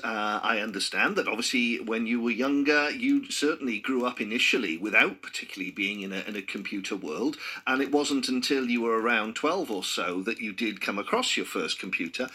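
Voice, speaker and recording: A man's voice: mid-range voice, slightly rough. A man hosting an interview, asking questions and encouraging his guest with frequent affirmations. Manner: warm, encouraging, with many affirmations. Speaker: interviewer host. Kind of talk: radio-style interview.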